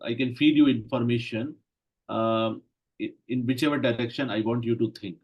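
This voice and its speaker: A man gives a lecture in in short, measured phrases and stops after each one so it can be interpreted. His voice is husky and a little rough, pitched in an ordinary middle range. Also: fairly even intonation, dull audio. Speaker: Ayurveda lecturer. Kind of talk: lecture in English, interpreted consecutively.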